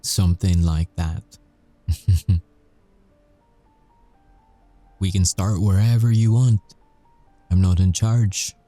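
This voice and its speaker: A man playing a sardonic, volatile supernatural prisoner in an audio roleplay, mocking and bitter, swearing and taunting with dark chuckles. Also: deep voice, steady tone, slow, faint music behind. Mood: guarded, sardonic. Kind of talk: audio roleplay ASMR acting.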